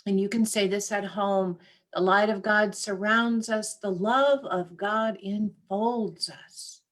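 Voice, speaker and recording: A woman minister hosting an online church service over a video call, gently thanking her guest and leading the congregation in prayer. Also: medium pitch, fairly even intonation, slow.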